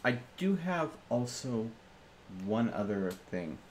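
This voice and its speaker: A man's voice: mid-range voice, very animated, slow, heavy background noise. A man playing a tabletop roleplaying game, chatting casually in and out of character with playful banter.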